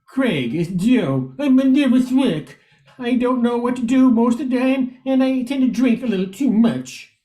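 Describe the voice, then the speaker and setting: Older woman playing high-voiced hapless nervous man. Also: expressive, a little slow, dark tone. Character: self-pitying, nervous out-of-work male radio drinker. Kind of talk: 1940s radio comedy acting.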